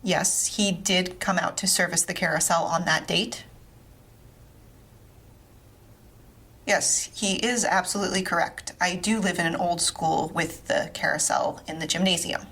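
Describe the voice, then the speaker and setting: An actress playing an eccentric, courteous doctor in a comic audio fiction phone monologue, formal and deadpan. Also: female voice, fairly low pitch, moderate pace, few pauses, breathy edge.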